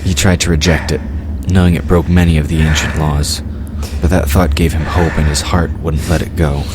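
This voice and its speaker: A man's voice: rumbling low, level tone, normal speed, background noise. A man reading scripted narration for an audio drama. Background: loud music.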